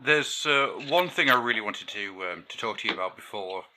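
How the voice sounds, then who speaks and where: A man's voice: lowish voice, lively intonation, fairly quick, sharp tone, a little hiss or hum. A man hosting a podcast, asking questions in a friendly, eager way with hesitant starts. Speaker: podcast host. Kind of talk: casual interview chat.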